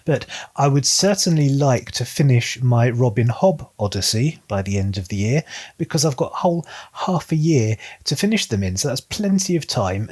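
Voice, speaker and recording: A man's voice: mid-range voice, a little mellow. Wry, articulate man chatting about books. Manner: wry, self-deprecating humour. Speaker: book vlogger. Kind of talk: casual chatty book review to viewers.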